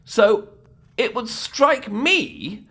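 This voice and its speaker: A man's voice: very high-pitched, sing-song, drawn-out words, bright, forceful tone, voice pushed up, full character voice. A British man playing a bumbling, lovestruck knight in a comedic fantasy audio roleplay, stammering politely and apologetically. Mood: flustered, nervous. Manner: stammering, flustered, apologetic, repeats words.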